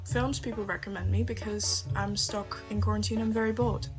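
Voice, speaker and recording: A woman's voice: medium pitch, fairly even intonation, a little fast, faint music behind. A young woman from the Netherlands chatting casually on a video call from her bedroom. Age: young adult. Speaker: video-chat caller. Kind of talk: casual chat.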